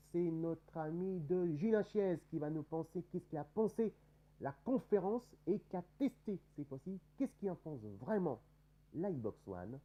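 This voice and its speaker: A man's voice: fairly high pitch, mellow, husky, poor audio. A man with a head cold, hosting a casual gaming video, talking over news with many fillers and restarts. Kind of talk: casual spoken game news commentary.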